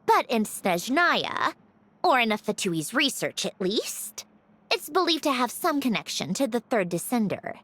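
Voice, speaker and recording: Dry, analytical young automaton. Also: female voice, on the high side, big pitch swings, fairly bright tone, exaggerated voice. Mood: explaining. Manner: measured, explanatory, slightly sardonic. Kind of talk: video game voice acting. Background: game soundtrack music.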